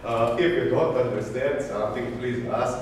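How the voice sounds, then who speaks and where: A middle-aged Slovenian-accented man gives a technical talk at a conference, ticking through points in a patient, self-deprecating way. His voice sits at an ordinary pitch for a man. He stands some distance from the mic in a very echoey hall, with steady noise underneath. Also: normal speed. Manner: self-deprecating, lists items one by one. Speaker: conference speaker.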